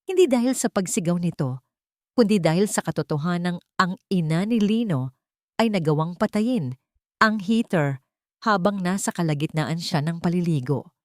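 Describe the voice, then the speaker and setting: A woman with a low voice reads a scripted drama as narrator. The recording is dry, with very little room sound. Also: dry recording. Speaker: story narrator.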